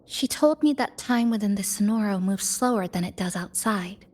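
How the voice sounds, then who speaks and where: An actress playing a composed young woman in a video game, speaking in a measured, reflective way. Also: medium pitch, darkish tone, natural voice. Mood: serious, reflective. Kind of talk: video game cutscene voice acting.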